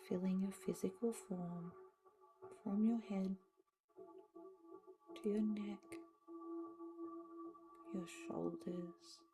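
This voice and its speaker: Female meditation guide: soft, soothing, creaky voice, extremely slow phrasing with long gaps, over meditative music. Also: lowish voice. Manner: slow delivery in short, separated phrases with long pauses. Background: meditation music with singing bowls.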